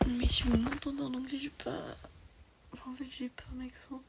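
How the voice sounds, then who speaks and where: A tired woman casually commenting on her game as she plays, often dropping into soft, half-whispered asides. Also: sits high, level tone, normal speed, vocal fry, heavy background noise. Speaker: gamer.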